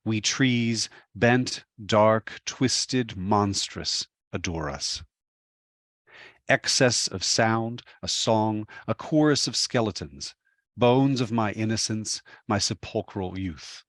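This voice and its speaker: A man giving a dramatic, solemn poetry reading in deliberate short phrases. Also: on the low side, fairly bright tone, dry recording, clean studio sound. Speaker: poet reading a poem.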